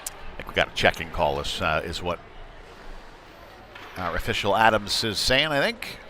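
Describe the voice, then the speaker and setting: A man doing live play-by-play hockey commentary in a halting, conversational way, with frequent uhs. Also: low voice, sing-song. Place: indoor ice rink. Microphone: wired broadcast microphone. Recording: clear rink noise behind the voice.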